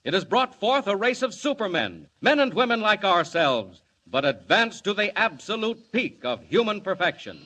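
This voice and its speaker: Bright, high-pitched American 1940s radio announcer, very animated. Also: male voice, normal speed, hardly any pauses. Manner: grandiose, theatrical, emphatic delivery. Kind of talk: 1940s radio serial narration, dramatic scripted announcing. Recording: vintage 1940 radio broadcast.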